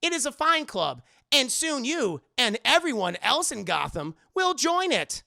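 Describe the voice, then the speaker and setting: A creepy cartoon robot mayor, put on by a man, stiffly polite and falsely cheerful. He speaks slowly in a raised, sharp voice with stilted phrasing. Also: very high-pitched, big pitch swings, vocal fry, no room sound, studio quality, pitched above the performer's own voice, full character voice.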